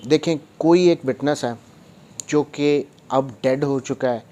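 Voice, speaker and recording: A man's voice: medium pitch, slow pace, warm tone. A man giving an explanatory law lesson as a lecturer, mixing English terms and phrases into his speech. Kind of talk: explanatory law lesson, reading statute then explaining with examples.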